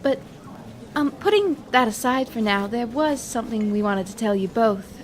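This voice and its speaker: A woman's voice: on the high side, lively intonation, moderate pace, close to an everyday voice. A woman playing a sweet, happy dwarf in an audio drama, chatty, friendly and polite.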